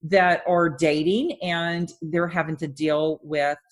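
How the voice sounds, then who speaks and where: Woman, 50s, podcast host, slightly low voice, chatty, rambling. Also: fairly even intonation, slow. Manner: chatty, warm laughter, rambling restarts. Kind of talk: casual interview chat.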